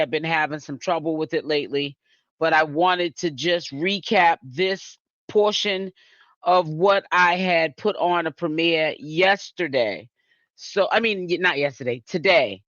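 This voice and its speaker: High-voiced chatty man from Boston. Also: a bit bright, no echo, highs cut off. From Boston, Massachusetts. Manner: chatty, talks straight to viewers in chat. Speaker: YouTube commentary host. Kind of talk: casual live-stream commentary.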